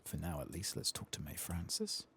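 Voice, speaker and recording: A soft-spoken man hosting an ASMR game playthrough, hushed and unhurried, sometimes slipping into whispers. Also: sits low, wildly swooping pitch, slight background noise. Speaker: ASMR gaming host.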